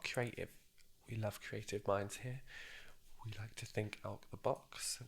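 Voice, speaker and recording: A man speaking softly and breathily in an ASMR job interview roleplay, gently encouraging and a little hesitant. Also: on the low side. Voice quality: soft, breathy voice with whispery moments. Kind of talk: soft-spoken ASMR roleplay.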